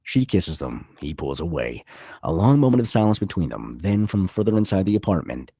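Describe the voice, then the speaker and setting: A man's voice: low-pitched, big pitch swings, brisk, warm tone, breathy edge, no echo, studio quality. A man narrating a screenplay at a table read, reading out scene headings and stage directions.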